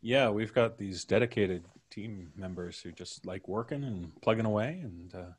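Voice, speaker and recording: A man's voice: fairly low pitch, normal speed, husky. A man hosting a podcast, chatting casually with colleagues and listeners on a livestream. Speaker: podcast host. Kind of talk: casual after-show livestream chat.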